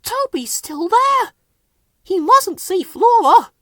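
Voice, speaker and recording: A man's voice: very high-pitched, big pitch swings, slow, bright, forceful tone, no room sound, clean studio sound, pitched above the performer's own voice, heavily acted. A man voicing an eager, anxious young male steam engine in a children's storybook, with urgent exclamations. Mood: alarmed, urgent.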